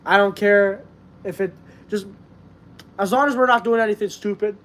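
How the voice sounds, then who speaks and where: A man's voice: high voice, normal speed, a bit bright. A young man from Canada hosting a wrestling live stream, talking earnestly and rambling with fillers, with a stuffed-up voice. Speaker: wrestling channel host. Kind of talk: casual live-stream chat.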